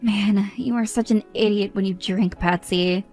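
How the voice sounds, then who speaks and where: A woman's voice: mid-range voice, fairly even intonation, a little slow, a little mellow, faint music behind, close to an everyday voice. A woman voicing an exasperated young bride who scolds others in an indignant tone.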